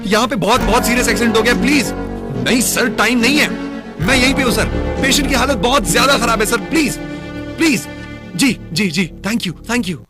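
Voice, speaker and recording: A man's voice: extremely high pitch, moderate pace, over music. A man playing a wily, chatty investigator in a radio drama, chummy and wheedling, with stammering hesitations. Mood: urgent. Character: wily chatty young male investigator, playful flattering charmer.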